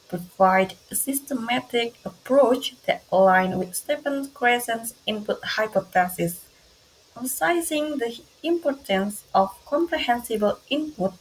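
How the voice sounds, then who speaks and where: A young woman with an Indonesian accent, reading her class presentation aloud slowly and carefully, with small grammar slips. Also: on the high side, a little hiss or hum, poor audio. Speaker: student presenter.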